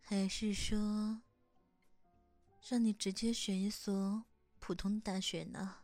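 Slow, soft-whispering woman. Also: medium pitch, little pitch movement, dry recording, faint music behind. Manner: soft, coaxing, big-sister tone. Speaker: hairdresser comforting a younger boy. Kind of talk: whispered ASMR roleplay.

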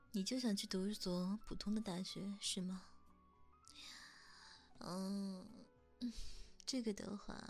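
A woman in a whispered ASMR roleplay, gently coaxing a younger boy as his hairdresser, in a soft big-sister tone, close to the microphone. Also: mid-range voice.

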